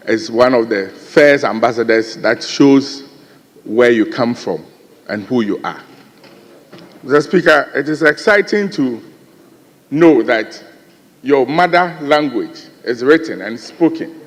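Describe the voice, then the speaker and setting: A man's voice: medium pitch, animated, takes it slowly, pauses often, big-room sound. A man with a Ghanaian accent giving an earnest floor speech in parliament, often turning to address the chair. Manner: earnest, rising emphasis, repeated addresses to the chair. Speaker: member of parliament. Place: large parliamentary chamber.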